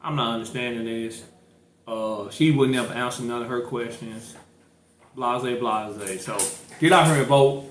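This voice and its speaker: A man's voice: mid-range voice, unhurried. A man with a St. Louis accent co-hosting a casual podcast, talking loosely and joking. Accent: St. Louis, Missouri. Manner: loose, joking, urging listeners. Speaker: podcast co-host. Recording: echoey room with faint noise.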